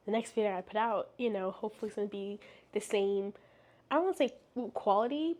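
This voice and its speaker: A young woman book vlogger talking casually to camera, rambling with lots of fillers and restarts, a little congested from a cold. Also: medium pitch. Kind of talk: casual chatty vlog.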